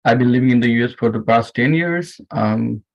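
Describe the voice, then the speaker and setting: A man from Nepal in his thirties or forties with a Nepali accent, speaking informally and haltingly as host of an online meditation session. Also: medium pitch, gravelly. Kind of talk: informal personal talk to online group. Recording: muffled, dull lo-fi online audio.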